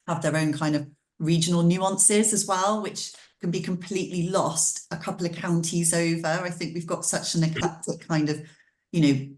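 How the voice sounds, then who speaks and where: A woman's voice: fairly low pitch, fairly even intonation, normal speed. A woman speaking as a webinar panellist, in a chatty, conversational way, over a video call. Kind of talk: panel discussion.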